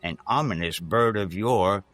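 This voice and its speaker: A man reads gothic poetry in a low voice and at a very slow, deliberate pace. His delivery is theatrical and solemn, with weighted pauses, and the sound is dry and close. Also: fairly bright tone, no room sound. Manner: measured, solemn, with dramatic pauses. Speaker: podcast host reading a poem. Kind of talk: theatrical dramatic reading of gothic verse.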